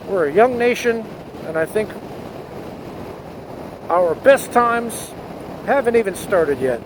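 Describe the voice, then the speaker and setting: Earnest high-voiced man, windy helmet mic. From United States. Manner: earnest, rising to patriotic fervor. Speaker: motovlogger. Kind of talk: spoken essay to camera. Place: on a motorcycle outdoors. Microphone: helmet headset mic. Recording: wind noise on helmet mic.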